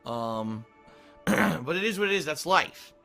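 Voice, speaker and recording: A man with a hoarse, strained voice that still sounds bright and tense, talking casually to his stream audience. He rambles loosely, clears his throat often and keeps tagging on "okay?" as he talks. Faint music plays underneath. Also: a little high, expressive, takes it slowly. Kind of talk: casual pre-stream schedule talk to chat.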